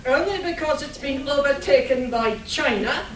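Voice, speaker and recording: A woman playing a gloating, mocking supervillain in an amateur comedy radio play, speaking with deliberate scorn. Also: sits high, close to an everyday voice. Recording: roomy, distant live convention recording with noise.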